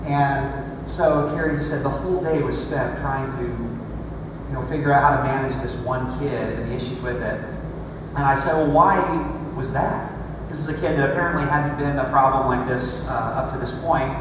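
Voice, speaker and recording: Distant echoey pastor preaching in a hall. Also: male voice, mid-range voice, moderate pace, background noise. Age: 30s. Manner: conversational, self-deprecating humor. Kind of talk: sermon. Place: large church stage with screen. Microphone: room mic far from stage. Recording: echoey hall recorded from far off.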